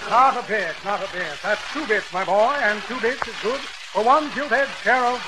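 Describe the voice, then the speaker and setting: An actor playing a blustery, jovial old stock swindler with florid, repetitive speech, in old-time radio comedy style. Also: male voice, normal speed, close to an everyday voice. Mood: grandly jovial. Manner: pompous, florid, muttering repetitions. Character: pompous flimflamming stock promoter, blustery jovial old windbag. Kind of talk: 1930s-40s radio comedy acting. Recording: noisy old radio recording, vehicle rumble.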